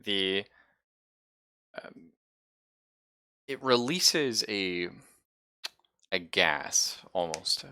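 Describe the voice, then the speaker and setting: Deep-voiced man running a tabletop game. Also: bright, forceful tone, no echo, studio quality. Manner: halting, thinking aloud. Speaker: game master. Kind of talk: improvised narration of a tabletop sci-fi horror game.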